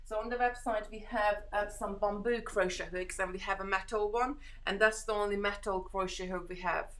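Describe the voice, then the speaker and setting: A woman's voice: mid-range voice, steady tone, fairly quick, fairly bright tone. A woman with a Hungarian accent hosting a casual live chat from home, reading viewer comments and answering with a reassuring, rambling manner full of fillers and restarts. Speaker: host of a beading live stream.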